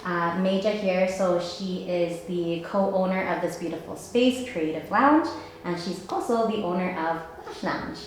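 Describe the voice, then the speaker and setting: A woman hosts a podcast in a relaxed, friendly way. She asks questions and fills in with quick encouraging replies. Her voice carries a heavy room echo. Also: lowish voice, a little hiss or hum. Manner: friendly, lots of encouraging backchannels. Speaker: podcast host. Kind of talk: casual interview chat. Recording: very echoey room.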